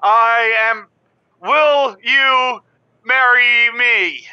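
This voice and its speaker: A man's voice: extremely high pitch, drawn-out words, bright, forceful tone, smooth, no room sound, highs cut off, heavily acted. An actor playing an anxious, earnest, lovestruck man with a horse, calling in to a comic audio drama. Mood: nervous, earnest. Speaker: caller character.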